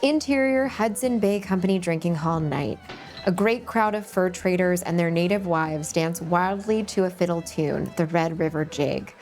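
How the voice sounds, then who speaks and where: A woman hosting a table read, chatting briskly and then reading stage directions aloud. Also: fairly low pitch, a little mellow, soft background music. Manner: brisk, upbeat, laughs easily. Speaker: host and script narrator.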